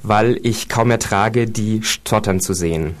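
A man's voice: on the low side, measured pace. Hesitant male radio co-host. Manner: hesitant, with fillers. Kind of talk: casual radio talk, reading quotes aloud.

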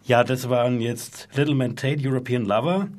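A man's voice: mid-range voice, steady tone, normal speed, darkish tone. A man hosting a radio talk show, speaking in a thoughtful, reflective way with an occasional stutter. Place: radio studio.

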